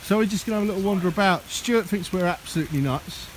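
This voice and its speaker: A man's voice: high voice, quick pace, poor audio. A man from Sheffield, chatting jokily as a vlogger while walking through rainy woodland. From Sheffield, England. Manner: jokey, chatty, laughs often. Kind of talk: casual outdoor vlog chat. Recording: rain noise in the background.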